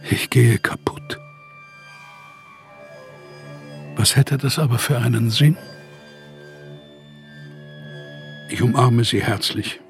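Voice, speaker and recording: A man's voice: medium pitch, vocal fry. An actor playing a despairing, exhausted writer in exile, reading his letters in a radio play with weary, heavy diction.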